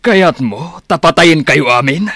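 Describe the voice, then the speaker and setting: An actor playing a hot-tempered older retired policeman, gruff and threatening, in an Ilocano Filipino radio drama. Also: fairly high pitch, lively intonation, unhurried, a bit bright. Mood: menacing. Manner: gruff, bullying.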